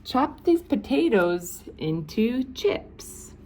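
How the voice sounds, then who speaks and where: A woman's voice: a little high, big pitch swings, very slow, darkish tone, slight background noise, pitched above the performer's own voice, heavily acted, low-quality recording. A woman voicing a hungry storybook king who is picky and demanding about his food. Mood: kindly.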